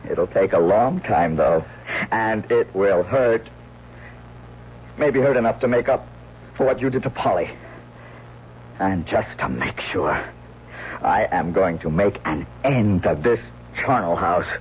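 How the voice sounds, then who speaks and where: An actor playing an embittered, haunted old painter, narrating gravely and deliberately in a radio mystery drama. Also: male voice, low-pitched, expressive, a little hiss or hum. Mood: cold, vengeful. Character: embittered, haunted old painter telling his tragic past. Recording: muffled vintage radio recording, loud music.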